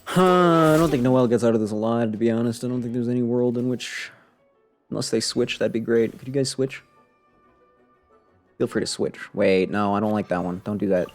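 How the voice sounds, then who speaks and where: A man's voice: medium pitch, moderate pace, faint music behind. A man doing casual live game commentary, grumbling wryly and talking to himself and the game. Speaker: game streamer. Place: live stream, game music behind the voice.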